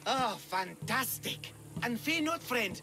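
A man voicing a hapless, cowardly villager who poses as a monster slayer, theatrical, bumbling and excitable, in a video game. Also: very high voice, slow, full character voice. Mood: grateful, nervous. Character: hapless, melodramatic, cowardly male villager posing as Minotaur slayer. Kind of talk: video game character acting.

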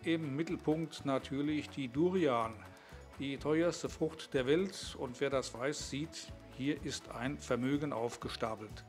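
A man's voice: mid-range voice, slow pace, soft background music. A man, presenting and narrating a vlog. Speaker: vlogger.

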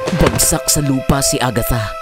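A man narrates a dramatized horror tale in a mid-pitched voice. Loud music plays right behind him throughout. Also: moderate pace. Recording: loud music bed under the voice.

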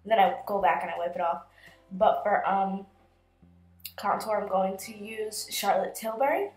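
A woman's voice: mid-range voice, normal speed, darkish tone. A woman in her twenties, sick with a cold, chatting through a makeup tutorial in a small bedroom, talking into a camera close in front of her. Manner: chatty, filler-heavy, explains each step. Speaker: beauty vlogger. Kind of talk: casual makeup tutorial narration. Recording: roomy bedroom echo, faint music behind.

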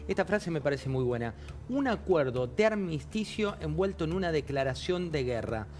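A man's voice: on the high side, animated, moderate pace, a little mellow, a little hiss or hum. A man reads out reactions and comments on them as a journalist in a TV studio. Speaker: news panel journalist reporting reactions. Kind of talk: news reading and commentary. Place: TV news studio.

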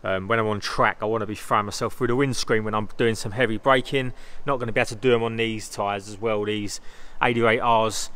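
A man's voice: lowish voice, fairly even intonation, a little fast, fairly bright tone, clear, resonant tone. Enthusiastic man filming his cars. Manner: enthusiastic, rambling, car jargon. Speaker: car vlogger. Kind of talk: casual car vlog commentary. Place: in and around cars outdoors at night, engine noise. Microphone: phone camera held by the speaker.

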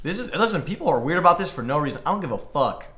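A young man bantering casually in a group, blunt, emphatic and quick to defend his opinions. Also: a little high, sing-song, a bit bright. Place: indoor room with several people. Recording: echoey room with faint noise.

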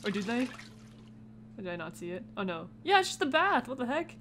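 A woman's voice: on the high side, very animated, moderate pace, a bit bright, slight background noise. A woman doing casual let's play commentary, chattily thinking aloud and reading game text out as she goes. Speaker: gaming video host.

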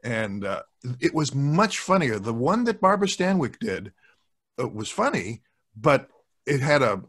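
A man in his sixties talking as a podcast guest in a casual, thoughtful, halting way, close to a desk microphone at home. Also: fairly low pitch, fairly bright tone. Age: 60s. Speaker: podcast guest, radio show producer. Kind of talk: casual discussion of old radio and film. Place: home room, video call. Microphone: large desk microphone, close.

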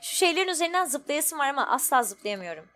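A woman's voice: high voice, sing-song, fast talker, sharp tone. A woman giving casual, informal let's play commentary, thinking aloud as she goes. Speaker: gaming streamer.

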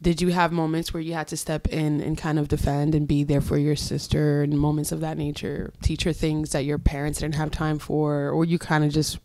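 A woman's voice: deep voice, flat delivery, normal speed, hardly any pauses, dark tone, no echo. A woman hosting a podcast, curiously asking a guest follow-up questions on a studio mic. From Puerto Rico and the US. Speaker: podcast host. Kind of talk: interviewing a guest. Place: small podcast studio indoors. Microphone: studio mic on a boom arm.

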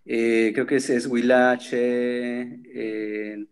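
A man's voice: mid-range voice, level tone, drawn-out words, clear, resonant tone. A man in his thirties, a native Zapotec speaker, talking thoughtfully in a seminar over a laptop video call, with many fillers and restarts. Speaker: seminar participant. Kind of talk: reflective conversational talk. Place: room at home with framed pictures. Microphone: laptop webcam on a video call.

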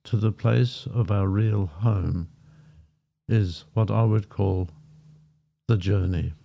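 A man guiding a meditation in a gentle, unhurried manner, leaving long pauses between short phrases. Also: fairly low pitch, gravelly. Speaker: meditation guide. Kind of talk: guided meditation, softly spoken. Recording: dull, muffled lo-fi sound. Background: background music.

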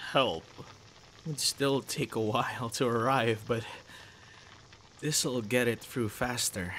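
A man playing a cute, teasing young boyfriend with a hidden sinister side in an ASMR audio roleplay, soft-spoken and casual. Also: medium pitch, slight background noise. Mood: concerned, casual.

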